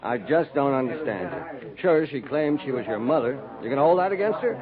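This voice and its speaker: An actor playing a genial, trusting army doctor, earnest and kindly chiding, in a 1950s western radio drama. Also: male voice, medium pitch, lively intonation, a little fast, a crowd in the background, close to an everyday voice. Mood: earnest, reassuring. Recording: tinny 1950s radio recording, busy background.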